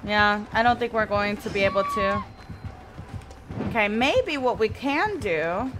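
A woman's voice: medium pitch, animated, a little slow, background noise. A woman whose first language is Spanish, streaming live gameplay and chatting with her viewers while she plays. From Mexico. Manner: chatty, reads and answers chat while playing.

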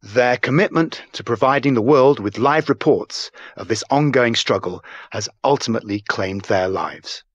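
A man's voice: medium pitch, no echo, muffled recording, close to an everyday voice. Actor as formal male news anchor, dry studio. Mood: solemn. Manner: formal, measured. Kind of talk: scripted newscast in a game cutscene.

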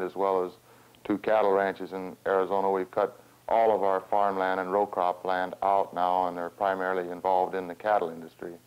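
Low, flat-toned American man. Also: smooth. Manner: halting, roundabout, measured. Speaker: farm organization representative and cattle rancher. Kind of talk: formal filmed interview. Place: small wood-panelled room, seated at a table. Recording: dull 16mm film soundtrack.

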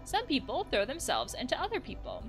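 A woman's voice: sits high, wildly swooping pitch, brisk, music in the background, full character voice. A woman voicing a defiant, fed-up young person in a video game, with dramatic delivery. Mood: defiant, sarcastic.

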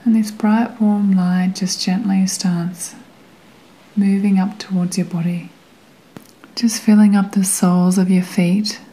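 A woman guiding a meditation in a gentle, soothing way, with a soft, sometimes breathy voice and long pauses between phrases. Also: medium pitch, level tone, rough recording. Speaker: meditation guide. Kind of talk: guided meditation narration.

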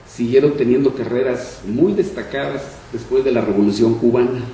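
An older man in his sixties with a northern Mexican accent speaks in a fairly high, soft-edged voice. He reads from prepared notes like a deliberate storyteller. The sound comes from a very echoey room, picked up at some distance from the mic. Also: slight background noise. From Monterrey, Mexico. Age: 60s. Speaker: journalist, panel speaker. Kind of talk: prepared talk, reading from notes aloud. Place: conference room panel, indoors. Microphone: shared table microphone on stand.

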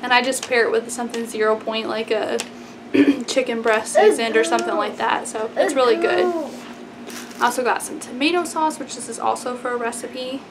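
A young American woman with a fairly high voice talks casually to camera in a chatty vlog style. She peppers her informal talk with "like" and "y'all". The recording has clear background noise from the room. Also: normal speed. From Texas, United States. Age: 20s. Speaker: vlogger. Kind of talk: casual grocery haul chat to camera. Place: small plain indoor room.

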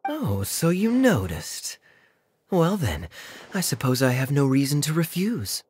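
An actor playing a suave, teasing young knight with a smooth, wry drawl in an anime-style game. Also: male voice, a little high, very animated, measured pace, darkish tone, slight background noise.

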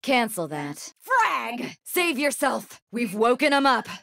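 A tough female space bounty hunter from a shooter game, speaks in a dry, close recording. She takes her time with a wry swagger, and her pitch moves widely between cool taunts and combat calls. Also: sing-song, gravelly, fairly bright tone, no echo, exaggerated voice. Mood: urgent. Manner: swaggering, deliberate, wry delivery. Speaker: video game character voice. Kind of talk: video game voice lines, short combat barks and quips. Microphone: close studio microphone, dry.

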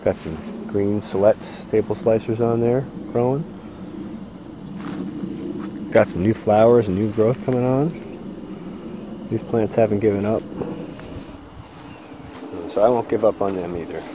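A man with a very low voice walks through his farm fields, narrating casually to a handheld camera. He rambles easily with plenty of "uh"s. Crickets and insects buzz clearly in the outdoor background. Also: a little slow, pauses often, noisy background. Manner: easygoing, rambling, frequent "uh" fillers. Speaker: farmer giving a garden tour. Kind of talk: casual walking tour commentary. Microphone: handheld camera mic, walking.

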